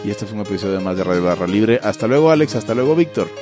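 A man's voice: lowish voice, animated, rapid-fire, music in the background. A man hosting a podcast, speaking Spanish with a Mexican accent and traces of a Venezuelan Maracaibo one, upbeat as he signs off. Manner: upbeat, signing off guests. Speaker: podcast host. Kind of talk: casual podcast chat.

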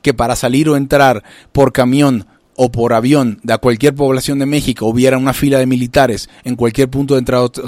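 A middle-aged man from Venezuela hosting a casual talk radio discussion, often restarting and filling pauses as he thinks. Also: mid-range voice, a little mellow, no echo. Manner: halting, many restarts and fillers. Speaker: radio show host.

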